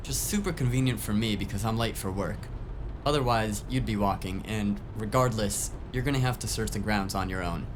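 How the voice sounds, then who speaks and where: A man's voice: lowish voice, moderate pace, background noise, close to an everyday voice. A man playing a grumbling, sarcastic tech whiz in a cyberpunk audio drama, talking in a casual, rambling way. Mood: wry. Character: grumbling, foul-mouthed, sarcastic male tech whiz driver.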